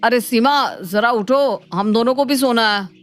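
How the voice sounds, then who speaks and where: A woman's voice: on the high side, unhurried, lightly acted. A woman voicing a naive, easygoing married elder brother in a cartoon family drama. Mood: sheepish. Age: adult. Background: faint background music.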